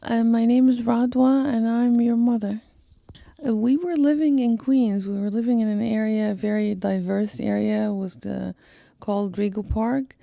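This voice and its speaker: A middle-aged woman, recalling family life haltingly with many pauses and restarts. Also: on the high side, steady tone, vocal fry, warm tone, no echo. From Egypt. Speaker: interviewee, mother, doctor. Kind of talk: casual family interview.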